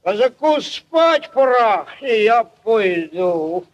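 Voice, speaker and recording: An actor playing a merry, boastful drunken Cossack villager who rambles in an old 1940s radio play. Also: male voice, high voice, very animated, takes it slowly, heavily acted. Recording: muffled 1940s radio recording.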